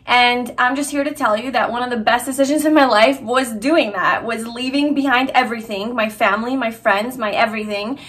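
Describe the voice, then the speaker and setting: Young woman, fairly high voice, earnest chatty vlog talk, lots of ums and restarts. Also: steady tone, brisk, hardly any pauses, fairly bright tone. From Hungary. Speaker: vlogger. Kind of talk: casual personal vlog talk.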